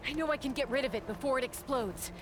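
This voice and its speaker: A woman's voice: sits high, fast, background noise, lightly acted. An actress playing a bold, stubborn young woman warrior in a fantasy video game, with dramatic delivery. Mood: reassuring, determined. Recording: game stream with loud music. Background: loud game music.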